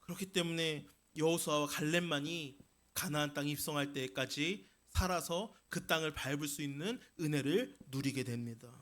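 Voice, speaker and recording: A male pastor delivers a Sunday sermon. His voice sits high for a man and has a bright, forceful edge. He explains and exhorts emphatically, in a storytelling preacher's style. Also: moderate pace. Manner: emphatic, rising into forceful declarations then easing into explanation.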